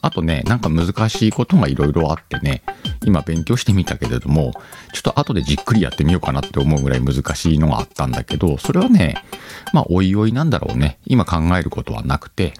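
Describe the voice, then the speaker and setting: A man with a very deep voice hosts a casual solo podcast. His delivery rises and falls in a lively way as he thinks aloud and talks straight to the listeners, with soft music underneath. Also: quick pace. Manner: conversational, thinks aloud, addresses listeners directly. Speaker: podcast host.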